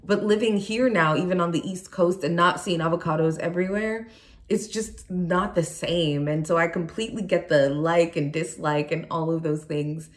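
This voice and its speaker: A woman's voice: lowish voice, fairly even intonation, moderate pace. A young adult woman youth pastor from Nigeria, raised in California, giving an earnest chapel sermon with prayer and personal stories.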